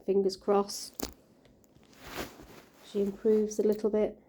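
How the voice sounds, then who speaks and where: Croaky-voiced woman, gentle and chatty. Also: mid-range voice, completely flat delivery, takes it slowly, slight background noise. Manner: gentle, caring, chatty with fillers. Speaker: koi keeper vlogger. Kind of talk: casual vlog narration.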